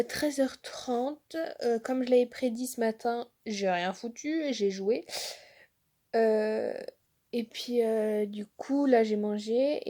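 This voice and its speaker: A young woman, a student, vlogging from her bedroom on her phone, slightly hoarse from a sore throat, rambling with many fillers and restarts. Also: a little high, warm tone. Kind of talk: casual vlog chat. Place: bedroom at home. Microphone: phone held at arm's length.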